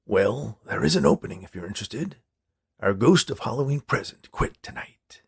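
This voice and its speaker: A man's voice: lowish voice, lively intonation, moderate pace, vocal fry, husky, exaggerated voice. A man voicing a weary, matter-of-fact ghost in a story, imitating a gritty movie superhero. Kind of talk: storybook character dialogue.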